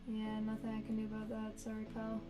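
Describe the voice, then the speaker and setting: A soft-voiced woman talks with viewers while she draws on a stream. Her voice sits fairly high but hardly moves, an even, monotone delivery that comes across as relaxed, and music plays clearly behind her. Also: rough recording. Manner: reads viewer chat aloud, low-key and unhurried. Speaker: artist streamer chatting with viewers. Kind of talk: casual livestream chat while drawing.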